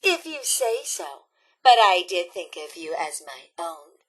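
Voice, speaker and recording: A woman's voice: on the low side, big pitch swings, slow pace, breathy edge, voice pushed down, heavily acted, low-quality recording. A woman voicing a sly old granny who is secretly a witch, urging and snarling in a video game's campfire tale. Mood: sly, gloating. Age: older. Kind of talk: video game storybook acting.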